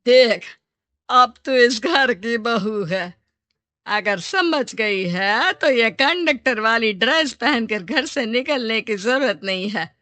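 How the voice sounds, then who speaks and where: Woman as a domineering mother-in-law, unhurried, dry sound. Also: on the high side, lively intonation, sharp tone, no room sound, full character voice. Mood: commanding. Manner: scolding, commanding. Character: stern, domineering middle-aged mother-in-law.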